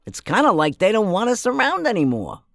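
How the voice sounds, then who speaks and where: An actress playing a bossy, blunt, tiny elderly fairy, folksy and wry, in a fairytale audio drama. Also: female voice, medium pitch, wildly swooping pitch, brisk, no echo, studio quality. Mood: frank. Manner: folksy, wry, plain-spoken.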